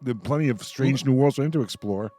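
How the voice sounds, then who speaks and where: A man's voice: mid-range voice, quick pace, over a noisy crowd. A man hosts a casual panel discussion in a wry, mock-exasperated way. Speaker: radio show host.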